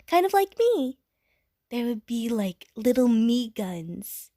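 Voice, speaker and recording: A woman's voice: fairly high pitch, very animated, slow pace, dark tone, no room sound, clean studio sound, exaggerated voice. A woman voicing a friendly, bubbly, naive female water slime who rambles chattily to the listener in an audio roleplay. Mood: playful, imaginative.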